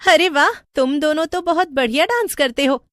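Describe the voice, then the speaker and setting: A woman voicing a pretty, playful young woman in a high, sing-song voice. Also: normal speed, fairly bright tone, dry recording, clean studio sound.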